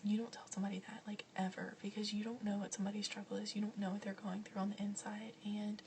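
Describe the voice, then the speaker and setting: A young woman whispering softly as she chats through a list of questions, with frequent ums and likes. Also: medium pitch, level tone, fast talker, recorded from a distance, a little hiss or hum. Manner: soft whispering, chatty, many ums and likes. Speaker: vlogger answering questions. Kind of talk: whispered ASMR Q&A.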